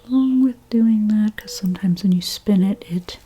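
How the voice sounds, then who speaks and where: A woman whispering in an ASMR role play as a hairstylist, gently talking through each step in a breathy, mostly unvoiced voice. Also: medium pitch. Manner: gentle, unhurried, step-by-step personal attention. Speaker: ASMR creator playing a hairstylist.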